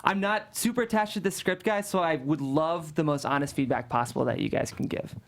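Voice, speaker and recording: A man with a slightly high voice hosts a script table read. He is the writer, and he both reads screenplay directions and talks the script over with the readers. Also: brisk, slight background noise. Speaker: writer and host.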